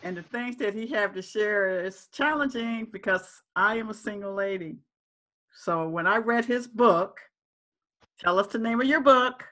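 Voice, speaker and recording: A middle-aged woman hosts a talk show over a video call. Her voice sits at a medium pitch, and she interviews in a conversational way. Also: a little slow, sharp tone. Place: indoor room.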